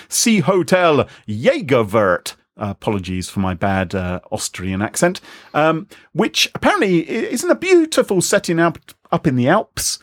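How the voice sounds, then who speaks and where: Lively, joking podcast host. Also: male voice, mid-range voice, no room sound, clean studio sound. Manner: jokey, rambling, self-mocking. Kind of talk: casual podcast chat.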